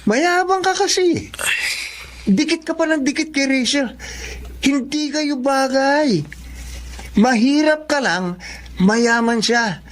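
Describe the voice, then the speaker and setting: An arrogant young male student bully in a dramatic serial. The voice sits high and sneers, dragging out words in mockery and taunting like someone who owns the place. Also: expressive, exaggerated voice. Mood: menacing, sneering. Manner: taunting, drawn-out mocking words. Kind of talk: Filipino radio-style drama acting.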